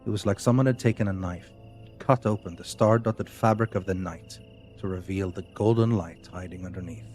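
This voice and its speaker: Man, very low voice, scripted storytelling narration, audio drama, music underneath. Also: moderate pace, darkish tone.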